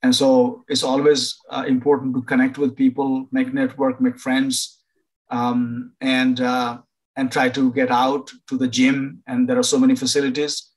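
An older man from Nepal, a professor advising international students over a video call, pausing often to find words. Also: mid-range voice, completely flat delivery, low-quality recording. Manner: halting, many fillers and restarts. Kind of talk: informal online presentation.

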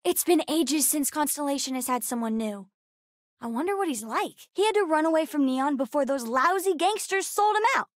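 A woman voicing a bright, bookish, chatty young girl with an American accent, as a video game character. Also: sits high, moderate pace, no room sound, clean studio sound. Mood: chatty, curious. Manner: chatty, expressive, youthful.

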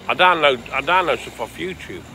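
A man from England chats to his viewers in a loose, rambling, sweary way. His voice is gravelly with a bright, forceful edge. He streams outdoors at night by the seaside. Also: fairly high pitch, lively intonation, fairly quick, slight background noise. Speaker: vlogger. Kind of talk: casual rambling livestream chat.

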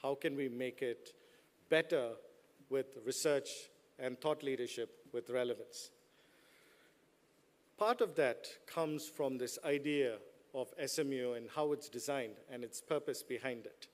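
A man's voice: mid-range voice, measured pace. A middle-aged man giving a commencement address from a podium in a large hall, mixing playful jokes with the audience into his speech. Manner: joking, playful asides to the audience. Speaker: business school dean giving a commencement speech. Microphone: podium microphones.

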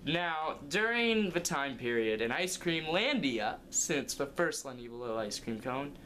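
A man's voice: a little high, animated, measured pace, sharp tone, a little hiss or hum. A young man improvising a comic story as its narrator, recorded indoors in a living room. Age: young adult.

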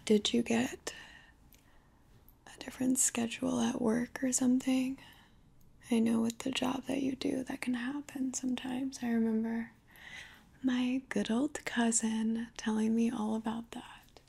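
A woman's voice: on the high side, flat delivery, measured pace, gravelly, mellow, breathy edge. A woman in her twenties doing an ASMR roleplay in a soothing, whispery close-up murmur. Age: 20s. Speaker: ASMR performer. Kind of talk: ASMR roleplay, soft slow intimate talk. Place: living room, close to the camera.

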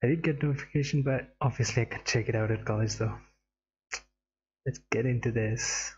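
A man's voice: mid-range voice, a little fast, darkish tone, muffled recording, slight background noise. A young man from India giving casual reaction commentary as a streamer, on a headset. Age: young adult. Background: game trailer music.

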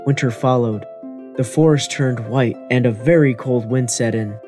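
A man's voice: medium pitch, normal speed. A man reading scripted narration for a children's fairy tale. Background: music.

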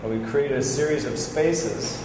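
A man's voice: medium pitch, unhurried. A man in his forties curating a small gallery, explaining the photos in a loose, enthusiastic way with many fillers, on a lapel mic. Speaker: gallery curator giving a tour. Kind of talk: casual walkthrough explanation. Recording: echoey room with background noise.

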